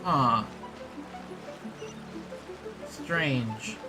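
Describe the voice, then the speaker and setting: A woman's voice: rumbling low, drawn-out words. A woman streamer giving casual playthrough commentary, muttering to herself and to the game, with game music behind her. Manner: talks to self and to the game. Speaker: gaming streamer.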